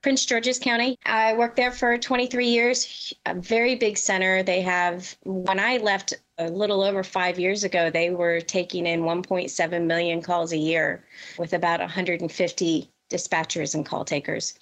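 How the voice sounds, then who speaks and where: A middle-aged woman speaks as a guest in a conversational interview. Her voice is slightly on the low side, and she talks like an experienced professional explaining her work. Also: little pitch movement, moderate pace, a bit bright. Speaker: podcast guest, veteran emergency dispatch professional.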